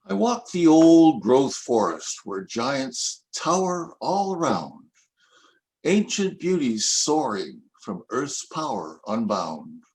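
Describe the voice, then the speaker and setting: An older man in his seventies reading his own poems aloud, with measured, expressive recitation. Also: medium pitch, a little hiss or hum, rough recording. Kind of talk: poetry reading at an event.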